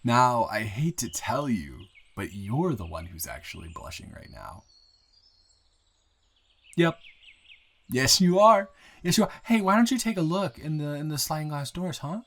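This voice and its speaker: A man playing a nervous, smitten new guy in town in an improvised romantic audio roleplay, halting and playfully teasing. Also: a little high, big pitch swings, a bit bright, exaggerated voice.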